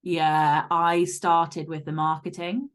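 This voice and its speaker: A woman's voice: on the low side, level tone, takes it slowly. A woman speaking as an author guest in a casual interview, candid and emphatic, with some casual swearing.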